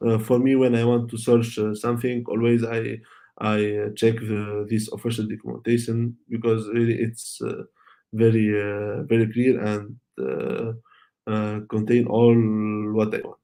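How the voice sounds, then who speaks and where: A young man whose first language is Arabic walks through a technical talk in a low, gravelly voice. He speaks haltingly and fills the gaps with many "uh"s and restarts. His pitch barely moves. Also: little pitch movement, warm tone. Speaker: conference speaker. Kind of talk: online tech talk with Q&A.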